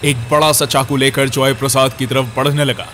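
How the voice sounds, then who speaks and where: A man's voice: mid-range voice, fairly even intonation, moderate pace, fairly bright tone, slight background noise. A man narrating a scripted horror story for an audio drama.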